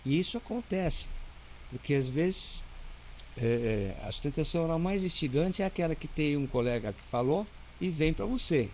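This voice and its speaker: A man with a Brazilian Portuguese accent, a lawyer who is the guest, explaining in a relaxed, didactic way and checking in with "né?" often. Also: on the high side, moderate pace, a little hiss or hum. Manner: didactic, explains step by step with many "né?". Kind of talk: casual interview talk.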